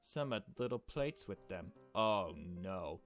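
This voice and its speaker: Male let's play host, lower register, casual and jokey narration with salty asides, soft game music underneath. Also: expressive, takes it slowly. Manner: chummy, jokey, swears. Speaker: let's play host reading a visual novel aloud. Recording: music behind the voice.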